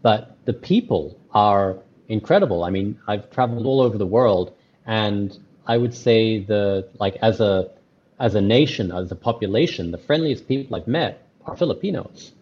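A man's voice: lowish voice, animated, normal speed, a little mellow, slightly rough, muffled recording. A man, talking earnestly as an interview guest, rambling with many restarts and fillers. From Australia. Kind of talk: casual interview conversation.

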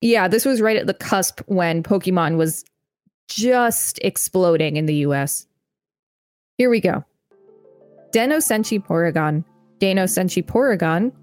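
A woman's voice: lowish voice, expressive, measured pace, long pauses, darkish tone, dry recording, faint music behind. A young woman co-hosting a podcast, reading aloud with casual, chatty, upbeat commentary. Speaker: podcast co-host.

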